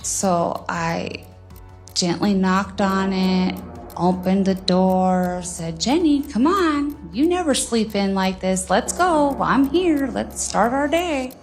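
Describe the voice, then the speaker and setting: A woman's voice: mid-range voice, expressive, slow, soft background music. A woman recounting what happened in her own words. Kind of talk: recounting events aloud.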